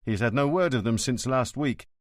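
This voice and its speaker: A curt, commanding police inspector, as a male reader gives him voice, speaking in short, dry orders. The voice sits at a middle pitch with a lively rise and fall. The sound is close and dry. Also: moderate pace, no echo, natural voice. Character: brusque no-nonsense police inspector, adult man. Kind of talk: audiobook character voice.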